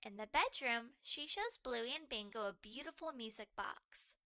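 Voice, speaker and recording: A woman narrating a children's storybook aloud, expressive and animated, carefully phrased for early readers. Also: on the high side, moderate pace, no echo, studio quality.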